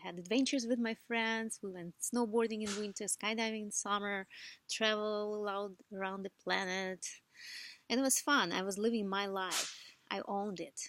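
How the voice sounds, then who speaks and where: A woman in her thirties from Ukraine, English speaker, telling her life story in a soft, reflective, unhurried way with gentle laughs. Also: mid-range voice, fairly even intonation. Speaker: vlogger. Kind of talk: personal life story monologue.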